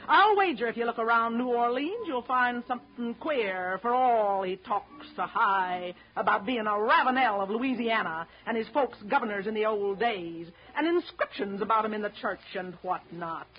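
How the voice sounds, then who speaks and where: A woman's voice: a little high, normal speed, few pauses, close to an everyday voice. Woman acting sour, scolding American wife, old radio. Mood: scornful, nagging. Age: middle-aged. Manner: scolding, carping, folksy plain-spoken. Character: sour, suspicious, bossy middle-aged mother and wife. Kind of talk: 1930s radio drama acting. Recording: dull vintage 1930s radio broadcast.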